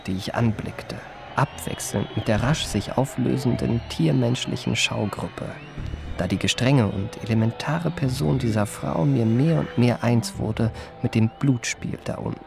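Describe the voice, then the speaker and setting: A man's voice: medium pitch, a little slow, gravelly, over music. An actor playing a refined, self-regarding adventurer who recounts his memoirs in polished, ornate phrasing for a radio drama. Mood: admiring, rapt. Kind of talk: literary radio drama narration.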